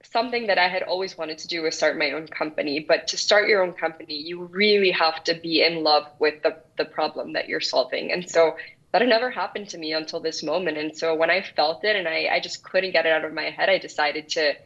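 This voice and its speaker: A woman's voice: deep voice, fairly quick, a bit bright. A woman from El Salvador, in her thirties, answering interview questions earnestly with frequent restarts. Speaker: guest founder. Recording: dull lo-fi sound.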